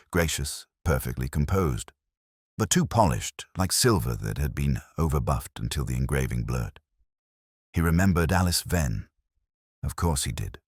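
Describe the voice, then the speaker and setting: A man reading a scripted first-person detective story in a measured, literary, brooding manner. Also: rumbling low, dry recording, studio quality. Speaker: narrator.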